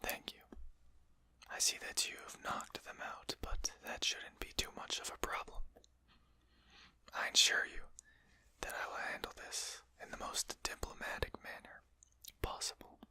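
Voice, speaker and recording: Breathy whisper, very bright and hissing, a performer as a polite vampire lord, formal wording, composed, ASMR roleplay. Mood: polite, businesslike. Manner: polite, formal, unhurried hushed speech.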